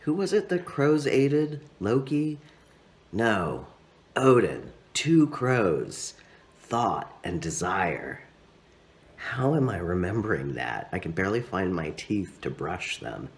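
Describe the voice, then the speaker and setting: A man with a low voice narrates a literary story he wrote. His pitch swings widely as he goes, and his phrasing is expressive and dramatic, with certain words stretched out. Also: slow. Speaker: author reading own story. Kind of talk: literary narration, read aloud.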